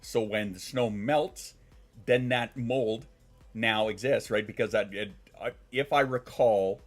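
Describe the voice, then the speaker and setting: A man's voice: on the low side, slow pace, faint music behind. A man in his thirties, congested and sniffling, chatting casually on a live stream, rambling, swearing and reacting to chat. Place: home.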